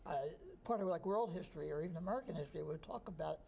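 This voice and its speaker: A man in his sixties from New Jersey, a history professor answering interview questions in a relaxed, thoughtful way. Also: on the high side, very fast, creaky, breathy edge. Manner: relaxed, thoughtful, chuckles easily.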